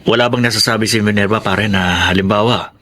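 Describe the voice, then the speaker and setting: A man's voice: lowish voice, fairly even intonation, fairly quick, bright, forceful tone. A man playing a frank, inquisitive buddy with a Filipino accent in a radio drama. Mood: frank, concerned.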